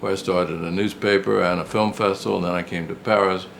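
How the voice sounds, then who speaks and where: An older man reminisces in a relaxed interview style, his voice low and full of gravelly creak. He tells stories in a rambling, conversational way, circling back to repeat phrases. There is some ambient noise behind him. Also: fast. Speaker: retired professor and writer.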